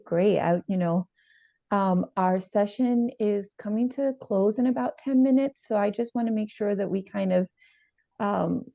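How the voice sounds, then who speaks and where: A woman playing a calm, supportive therapist in an improvised session over a video call, speaking gently and a little hesitantly. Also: medium pitch, creaky, lightly acted. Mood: encouraging. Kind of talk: improvised role-play dialogue. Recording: slightly dull, roomy video-call audio.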